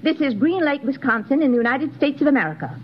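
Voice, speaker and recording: A no-nonsense small-town housewife in an old radio play. Her high voice swings with brisk suspicion. The recording is dull, with faint noise. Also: animated. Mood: exasperated. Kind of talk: 1950s radio drama acting.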